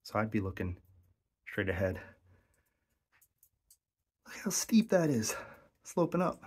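A man's voice: fairly low pitch, very animated, slow, a little mellow, breathy edge. A man narrating his own exploration of a cramped abandoned mine, enthusiastic and explaining what he sees as he goes.

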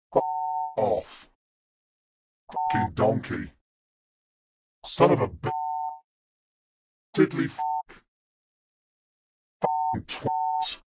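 A man's voice: mid-range voice, breathy edge, dry recording, studio quality, full character voice. A man voicing an angry, foul-mouthed celebrity chef in a mobile game, barking short insults and orders through a demonic voice effect. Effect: demonic voice filter. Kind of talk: mobile game character voice lines.

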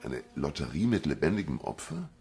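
An actor playing a gruff, impatient police official in a radio crime drama, brusque and incredulous, with a gravelly, husky voice. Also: male voice, a bass voice, wildly swooping pitch, brisk, darkish tone, soft background music, heavily acted. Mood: agitated.

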